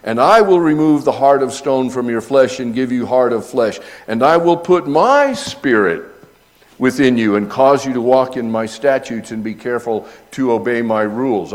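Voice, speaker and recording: A middle-aged man teaching a sermon, explaining scripture step by step and growing emphatic when he reads passages aloud. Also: medium pitch. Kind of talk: expository sermon.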